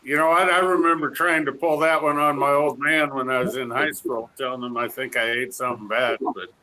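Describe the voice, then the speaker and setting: A man's voice: mid-range voice, steady tone, a little fast, sharp tone, low-quality recording. A man in a casual roundtable chat who keeps teasing and joking with the others. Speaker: roundtable panelist. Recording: faint background noise.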